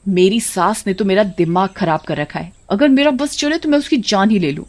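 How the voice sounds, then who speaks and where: A woman's voice: medium pitch, expressive, normal speed, close to an everyday voice. A woman voicing a dramatic, fed-up village wife who complains in a gossipy, exaggerated way. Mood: exasperated.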